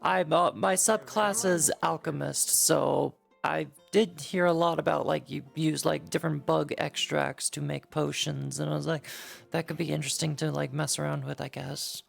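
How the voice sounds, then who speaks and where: A man with an intensely creaky, fry-laden voice chats in character as a roleplayer. The delivery is casual and tentative, and faint music plays behind. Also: on the high side, fairly even intonation, normal speed. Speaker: roleplay participant playing a summoner.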